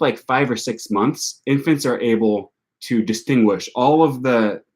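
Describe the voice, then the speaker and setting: Young male host, northern US accent, low-ish voice, loose chatty delivery with lots of fillers and self-corrections. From United States. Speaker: language learning channel host. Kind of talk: casual answer to viewer questions.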